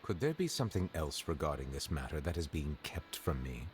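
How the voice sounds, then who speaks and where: An actor playing a calm, dignified judge and statesman in a video game, speaking in a measured, formal, reserved way. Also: extremely low pitch. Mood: grave, composed. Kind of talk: scripted video game dialogue. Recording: music under the game dialogue.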